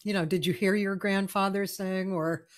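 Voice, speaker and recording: A woman's voice: medium pitch, steady tone, moderate pace. A woman in her sixties hosting a casual video-call interview from her home music room, effusive and often searching for words. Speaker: host. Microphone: studio mic on a video call.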